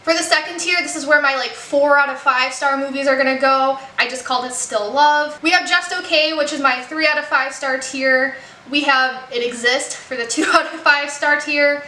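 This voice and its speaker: A young American woman chatting casually to her channel, upbeat and quick to laugh. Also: sits high, pushed and bright. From United States. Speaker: YouTube creator. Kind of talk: casual chatty video commentary. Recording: echoey room with faint background noise.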